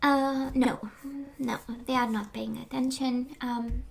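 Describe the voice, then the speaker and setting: A woman with a high-ish voice who is losing it, chatting through a tarot spread with lots of ums and the odd whisper. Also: flat delivery, slow, vocal fry. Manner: rambling, many fillers, drops into whispers. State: losing her voice. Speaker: tarot reader. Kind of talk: casual spoken tarot reading.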